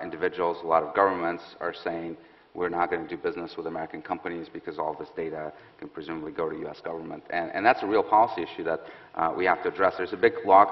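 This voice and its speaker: A man in his thirties, a security expert on a stage panel, explaining in a quick, assured way. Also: low voice. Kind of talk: panel interview answer. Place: stage set with audience, indoors. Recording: muffled hall with long reverb.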